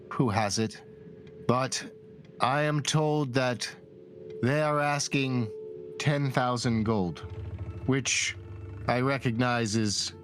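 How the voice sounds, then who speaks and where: Man as a slow, dying middle-aged clergyman. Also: medium pitch, natural voice. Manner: halting, grave, formal. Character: dying middle-aged human clergyman, weary, earnest mentor. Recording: music behind the voice.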